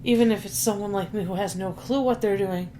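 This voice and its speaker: A woman's voice: medium pitch, brisk, crowd noise. A woman co-hosting a podcast, chatting casually and teasing now and then. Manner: teasing, conversational. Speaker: podcast co-host.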